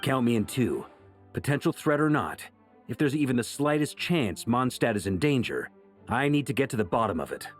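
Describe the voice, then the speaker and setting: A man's voice: mid-range voice, sing-song, moderate pace, faint music behind, natural voice. A man voicing an earnest, supportive, duty-minded hero in a video game. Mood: resolute. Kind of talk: animated game dialogue.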